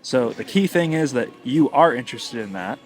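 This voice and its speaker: A man's voice: medium pitch, expressive, normal speed. A man from the United States co-hosting a podcast, talking in long winding sentences with a teasing, thoughtful manner. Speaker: podcast co-host. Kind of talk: casual conversational chat.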